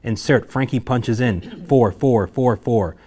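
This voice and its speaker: A man's voice: medium pitch, slow pace, warm tone. A man reading scene headings and action lines aloud as the narrator at a screenplay table read.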